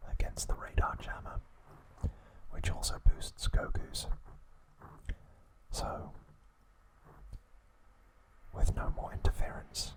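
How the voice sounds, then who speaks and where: A man whispers his way through ASMR narration at a very slow pace. He speaks deliberately, breaking sentences into short phrases with pauses between them. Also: rough recording. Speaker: ASMR host.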